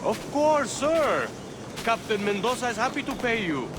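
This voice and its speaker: A man's voice: very high voice, sing-song, moderate pace, background noise. A man playing an obsequious, nervous Spanish underling in a video game, with a Spanish accent and deferential, hesitant speech. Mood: eager to please. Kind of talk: video game dialogue acting. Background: loud music.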